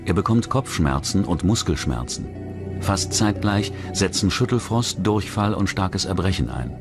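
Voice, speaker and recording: A man's voice: low-pitched, animated, measured pace. A man delivering scripted narration for a true-crime documentary in the role of narrator. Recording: narration over music bed. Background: music.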